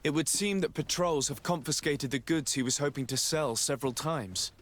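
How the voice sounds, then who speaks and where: An actor playing a polite, resolute young nobleman diplomat in a video game, speaking in a formal, measured way. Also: on the high side, slight background noise, close to an everyday voice. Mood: courteous, composed. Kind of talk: video game cutscene acting.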